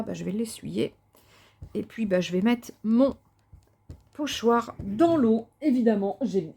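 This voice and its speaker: A woman of mid pitch chats casually as she works on a craft project. She thinks aloud with plenty of fillers and restarts and gushes about colours. Now and then she drops into soft muttering to herself. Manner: talks to herself softly while working. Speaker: craft vlogger.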